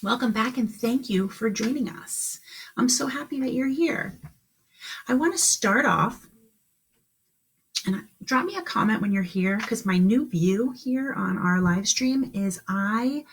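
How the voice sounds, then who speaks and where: A woman's voice: mid-range voice, slightly rough. A woman teaching kindergarten on a live stream, speaking encouragingly to children in simple questions and instructions.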